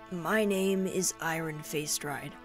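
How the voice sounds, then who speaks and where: An actress playing a distrustful, self-serious elven rebel leader in a fantasy audio drama, stern and curt. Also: female voice, low-pitched. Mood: self-important.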